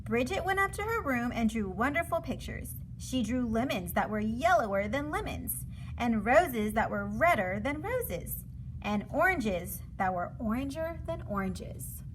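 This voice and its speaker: A woman narrating a children's storybook aloud in an expressive read-aloud style. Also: fairly high pitch, unhurried, a little hiss or hum, poor audio. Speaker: storybook reader.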